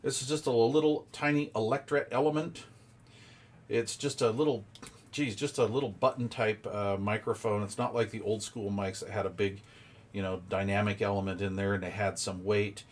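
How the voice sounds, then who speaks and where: A man's voice: on the low side, steady tone, normal speed. A man giving a casual, hands-on unboxing commentary, explaining details informally and thinking aloud as he goes. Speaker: product reviewer.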